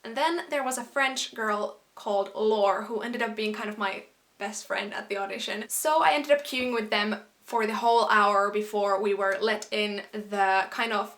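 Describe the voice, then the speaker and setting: A young woman from Finland, a native Finnish speaker, chatting casually to camera as a vlogger in an animated storytime style. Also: on the high side. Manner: chatty and animated, quick asides and quoted exclamations.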